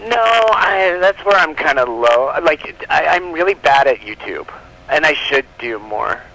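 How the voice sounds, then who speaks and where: A man's voice: a little high, expressive, a little slow, bright, forceful tone. A man talking as a guest publisher in a casual phone interview, chatty and enthusiastic. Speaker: guest, comic book publisher. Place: on a telephone line. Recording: live telephone call on radio show.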